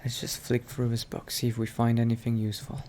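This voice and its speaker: Male gamer, relaxed mellow tone, hesitant in-character muttering, some noisy game ambience behind. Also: mid-range voice, a little fast. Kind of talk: in-character game roleplay narration.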